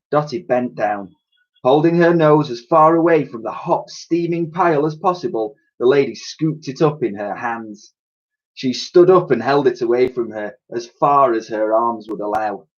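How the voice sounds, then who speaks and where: A man reading a children's story aloud in an animated, expressive storytelling style. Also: mid-range voice, moderate pace, dull audio.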